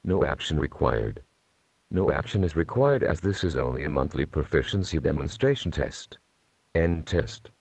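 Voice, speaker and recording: A man's voice: a bass voice, lively intonation, moderate pace, a little mellow, slightly rough, no room sound. A man reading out a scripted test message as an emergency announcer.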